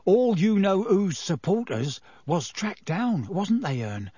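Audiobook role: gossipy young working-class conductor played by a male reader, raised pitch, slightly husky, chatty and excitable. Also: takes it slowly, dry recording, clean studio sound, exaggerated voice. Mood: gossipy, dramatic. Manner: chatty, colloquial, dropped-grammar gossip with tag questions. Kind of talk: audiobook character voice.

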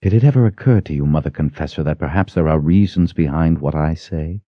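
A man's voice: rumbling low, a little fast, no echo, lo-fi sound. A man voicing a furious, commanding witch woman in an audiobook, full of accusing menace. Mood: cold menace. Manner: hissing threats, accusing rhetorical questions.

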